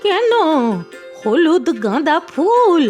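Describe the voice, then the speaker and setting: A woman voicing a stingy but clever old grandmother in a Bengali cartoon, talking in a slow, drawn-out, sing-song way. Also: very high-pitched, sing-song, darkish tone, faint music behind, full character voice. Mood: explaining. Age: older.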